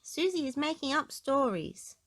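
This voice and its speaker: A woman voicing an excitable, curious, giggly little girl piglet from a children's storybook. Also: high-pitched, moderate pace, pitched above the performer's own voice. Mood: indignant, curious. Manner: exclamatory, giggly.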